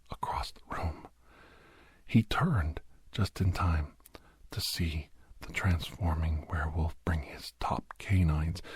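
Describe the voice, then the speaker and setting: A man playing a grim doctor recounting a bloody werewolf rescue in a hushed, breathy, halting ASMR storytelling style. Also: rumbling low, dry recording, exaggerated voice. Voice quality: breathy, husky near-whisper. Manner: hushed, halting, dramatic delivery. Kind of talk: whispered ASMR horror storytelling.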